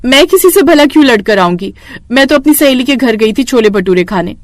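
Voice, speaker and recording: A woman's voice: fairly high pitch, lively intonation, a little fast, no room sound, lightly acted. Young daughter-in-law for a moral tale, woman narrator acting: dramatic, grumbling, scheming, dry studio sound. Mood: irritated. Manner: dramatic, complaining. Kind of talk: Hindi moral story voice acting.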